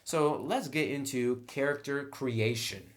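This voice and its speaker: A man's voice: mid-range voice, a little slow. A man narrating a tabletop game review in an informal, semi-scripted way, with wry asides.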